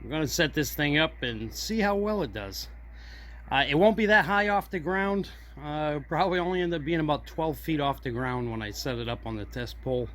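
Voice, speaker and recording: A man's voice: a little high, brisk, a bit bright. A man giving a casual hands-on demonstration as a hobbyist host, unscripted and thinking aloud as he goes. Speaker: hobbyist video host testing radio antennas.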